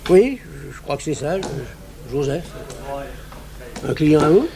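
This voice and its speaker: A man's voice: on the high side, lively intonation, measured pace, gravelly, background noise. An actor playing an obliging, slightly evasive male café owner, talking in a colloquial, hesitant ramble in a French radio drama.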